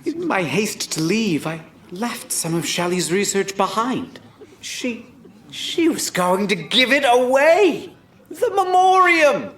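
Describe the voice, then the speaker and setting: An actor playing a guilty, greedy male scientist coming apart, stammering through a halting, manic confession in an audio drama. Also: mid-range voice, sing-song, a bit bright, reverberant, a little hiss or hum. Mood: bitter.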